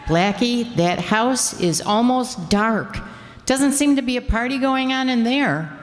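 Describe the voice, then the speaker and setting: A woman's voice: a little high, unhurried, close to an everyday voice. A woman playing a detective's plucky, teasing sweetheart in an old-time radio drama. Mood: nervous, watchful. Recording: echoing hall with background noise.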